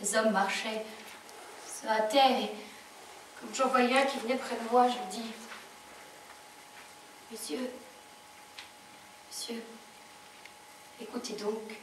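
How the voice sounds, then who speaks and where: An actress playing a wistful, fiery, worn-down laundress in a dramatic theatrical monologue. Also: female voice, a little high, steady tone, slow pace, long pauses. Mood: desperate, weary. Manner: dramatic storytelling, swinging from hushed to shouted. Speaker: actress performing a stage monologue. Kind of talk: theatrical French monologue with sung passages. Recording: echoey room from far off, faint noise.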